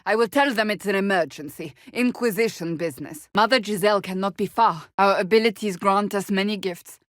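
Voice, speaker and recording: An actress voicing a stern, devout warrior woman in a video game, with formal, deliberate and blunt, commanding delivery. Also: medium pitch, dry recording, studio quality, natural voice. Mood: dry, grave. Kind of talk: video game voice acting, dramatic dialogue.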